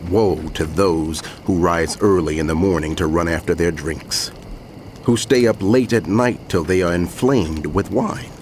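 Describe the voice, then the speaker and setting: A man's voice: low-pitched, a little slow, a little mellow, slight background noise. A man playing a solemn prophet who proclaims woe in an emphatic, declamatory way in dramatized scripture narration. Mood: grave warning.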